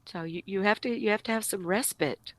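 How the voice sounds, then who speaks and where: A woman guest giving casual advice in an informal, halting way. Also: medium pitch, a bit bright, no room sound. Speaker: podcast guest.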